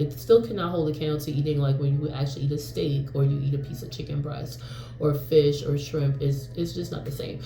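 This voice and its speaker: A woman's voice: deep voice, creaky, mellow, roomy sound, a little hiss or hum, poor audio. A woman in her forties from New York, casually vlogging in a rambling, frank way with lots of fillers and the odd swear word. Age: 40s. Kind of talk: casual talking-to-camera vlog.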